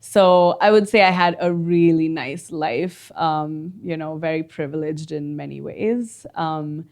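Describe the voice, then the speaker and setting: A chatty young woman, answering interview questions in a lowish voice. She giggles easily and leans on fillers as she talks. Her tone stays relaxed and conversational. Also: a little slow. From India. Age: 20s. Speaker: guest singer. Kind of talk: casual interview chat.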